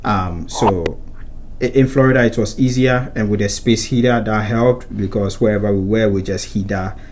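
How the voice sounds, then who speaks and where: A young man from Ghana speaks in a somewhat low voice. He explains things in a calm, easygoing way while co-hosting a relaxed chat with his wife. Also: moderate pace, background noise. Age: young adult. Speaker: co-host of a personal finance channel. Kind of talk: casual chat.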